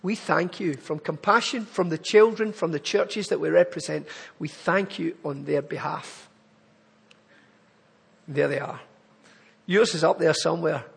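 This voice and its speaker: A man's voice: on the high side, measured pace, vocal fry, breathy edge, long reverb tail. A man with a Glaswegian accent giving an earnest, pleading charity appeal in church. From Scotland. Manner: earnest, pleading, building to emphatic lists.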